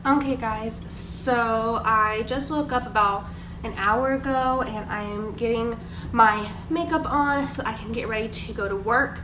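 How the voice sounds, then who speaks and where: A woman's voice: a little high, measured pace. A young woman, stuffy from a cold, chatting casually through her makeup routine at her kitchen table. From Georgia, US. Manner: chatty, filler-heavy, explaining products. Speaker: beauty vlogger. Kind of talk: casual get-ready-with-me chat. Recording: echoey room, distant mic, background noise.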